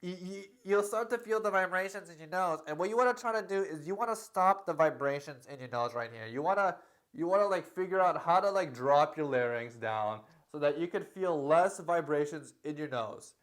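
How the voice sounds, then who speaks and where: A man's voice: on the high side, lively intonation, a little fast, fairly bright tone. A young man teaching singing in a loose, casual way, full of fillers and frequent "okay?" check-ins. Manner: sings short lines to demonstrate. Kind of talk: casual vocal lesson.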